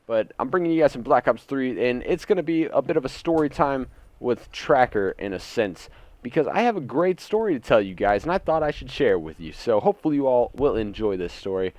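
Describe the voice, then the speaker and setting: A man's voice: mid-range voice, sing-song, fairly quick, darkish tone, no room sound. A young man telling a casual, indignant, sweary story for his gaming channel. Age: teens to young adult. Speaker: gaming YouTuber. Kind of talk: casual story time over gameplay. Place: indoors at home.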